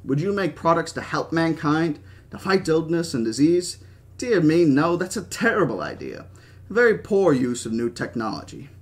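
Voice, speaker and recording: A man's voice: a little high, big pitch swings, moderate pace, pitched above the performer's own voice. A man voicing a stubborn, grandiose old park founder in his seventies, with an attempted British accent and a genteel, speechifying manner. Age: 70s.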